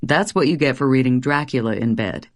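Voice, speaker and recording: Teasing young husband, low and easy, read by a woman. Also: animated, brisk, no room sound, studio quality.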